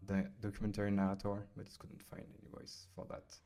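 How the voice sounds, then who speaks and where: A man with a French accent, casually talking through a software tutorial at his computer, pausing often with fillers as he types. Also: on the low side, completely flat delivery. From France. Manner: hesitant, many fillers while typing. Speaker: software demo host. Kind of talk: casual screen-recorded tutorial.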